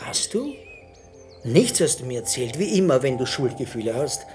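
Weary, sardonic middle-aged husband played by an actor. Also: male voice, mid-range voice, very animated, normal speed, a little mellow, soft background music, natural voice. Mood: wry, sullen. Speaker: actor in an audio drama. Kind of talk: naturalistic radio drama dialogue.

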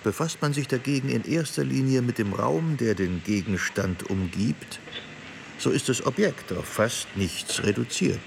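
An actor reciting surreal poetic texts in a radio play. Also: male voice, medium pitch, unhurried, vocal fry, noisy background. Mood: measured, reflective. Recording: loud music behind the voice. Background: instrumental music.

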